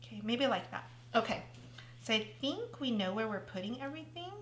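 A woman's voice: fairly high pitch, animated, fairly quick, fairly bright tone, roomy sound, a little hiss or hum. Chatty woman losing her voice. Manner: chatty, thinking aloud while working. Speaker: craft tutorial host. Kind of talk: casual craft tutorial.

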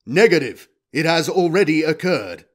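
War robot played by a male narrator, blunt, calculating, clipped formal delivery, raised forceful voice for science-fiction audiobook. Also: on the high side, expressive, moderate pace, pitched above the performer's own voice. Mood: cold, commanding. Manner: clipped machine-like formal statements.

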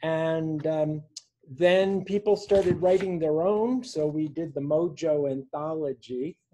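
A man in his sixties reading his own poems for an online open mic, with a measured, deliberate delivery. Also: on the high side, dark tone, a little hiss or hum, low-quality recording. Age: 60s. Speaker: poet reading own work. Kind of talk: poetry reading at a virtual open mic, with informal introductions. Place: small room indoors at home.

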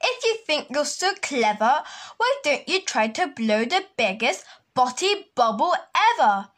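A woman's voice: high-pitched, sing-song, slow, fairly bright tone, full character voice. A woman voicing a scheming male hermit crab who exclaims indignantly in a storybook reading. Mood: gleeful.

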